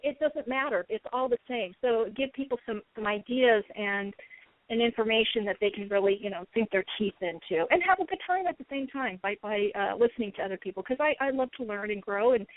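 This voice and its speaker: A woman's voice: fairly high pitch, no echo. A woman from the US East Coast, co-hosting a paranormal talk show, speaking earnestly in long rambling sentences. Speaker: co-host. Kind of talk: casual radio chat. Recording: tinny phone-like line.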